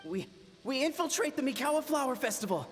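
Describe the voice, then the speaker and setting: A woman's voice: high voice, sing-song, a little fast, soft background music. A woman voicing a dim, scheming little raccoon-dog yokai in a video game, hesitant and stammering. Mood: scheming, unsure. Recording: long reverberant hall effect.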